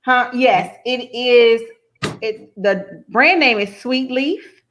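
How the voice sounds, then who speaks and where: A woman's voice: a little high, takes it slowly, fairly bright tone, a little hiss or hum. An American woman giving frank, emphatic diet advice in a casual panel chat.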